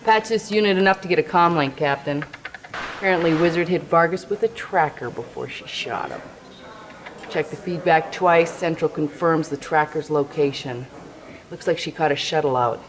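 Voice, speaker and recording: A woman's voice: low-pitched, moderate pace, close to an everyday voice, rough recording. A tough young female rebel voiced by an actress in a video game cutscene. She is curt and casual, with a hard-bitten edge. Faint noise and clicks sit behind the voice. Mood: terse, hard. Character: tough, curt female resistance tech fighter. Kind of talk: scripted game cutscene acting.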